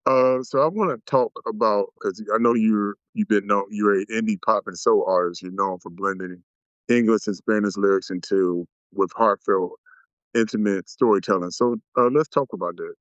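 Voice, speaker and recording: Male podcast host, fairly low smooth voice, supportive and halting; dull dry sound. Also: no room sound. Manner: supportive, halting with many fillers. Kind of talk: casual interview chat. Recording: dull, dry close-mic audio.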